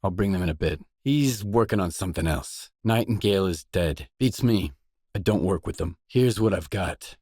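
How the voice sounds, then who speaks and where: An actor playing a terse, guarded mercenary in a video game, speaking scripted lines in a matter-of-fact way. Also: male voice, low voice, expressive, normal speed, darkish tone, slightly rough, no echo, studio quality.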